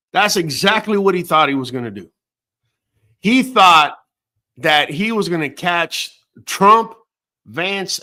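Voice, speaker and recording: A man hosting a late-night talk show who was born in Venezuela. His voice sits a little high, and he talks in a casual, blunt, profane rant, stacking one opinion on the next. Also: bright, forceful tone. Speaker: host.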